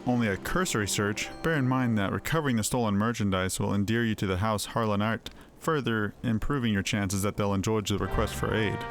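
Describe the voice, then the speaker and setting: A man voicing a formal, exacting knight officer in a fantasy game, speaking in a measured, officious way. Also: on the low side, lightly acted. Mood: officious, condescending. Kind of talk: voiced reading of fantasy game dialogue. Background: music.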